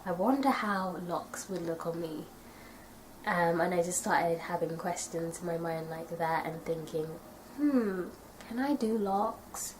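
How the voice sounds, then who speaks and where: A young woman from the UK chatting casually to camera in a tag video, her nose stuffy from a cold and her voice a little raspy. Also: on the low side, measured pace, a little hiss or hum, poor audio. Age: young adult. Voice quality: slightly raspy. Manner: chatty, thoughtful, rambling with fillers. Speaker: vlogger.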